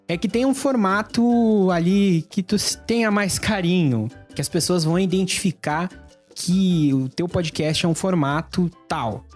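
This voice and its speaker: Informal Brazilian tutorial host, mid-pitched voice. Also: a little mellow. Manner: informal, conversational. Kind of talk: casual explainer to camera.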